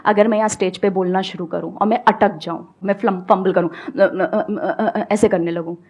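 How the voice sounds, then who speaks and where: A young woman speaking on stage, giving calm, coaxing advice to an audience with everyday examples. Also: mid-range voice, moderate pace. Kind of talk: motivational talk and audience Q&A. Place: stage before a live audience.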